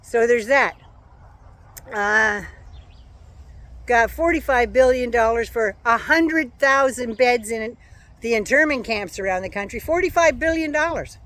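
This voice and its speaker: A woman giving a sarcastic, profane political rant as a vlogger, her voice going hoarse. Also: a little high, a little slow, fairly bright tone. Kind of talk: casual ranting monologue.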